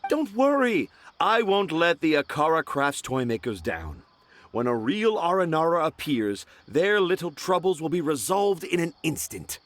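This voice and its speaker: Theatrical, swooping young dreamer. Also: male voice, on the high side, sing-song, moderate pace. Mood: confident. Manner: theatrical, florid, confident. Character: grandiose, imaginative, stubborn young male dreamer. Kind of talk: video game character acting.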